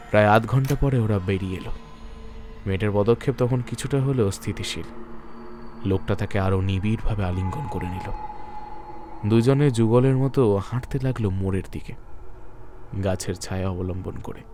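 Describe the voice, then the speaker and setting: Low-pitched male suspense narrator. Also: moderate pace, pauses often, a little mellow, faint music behind. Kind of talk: scripted suspense story narration.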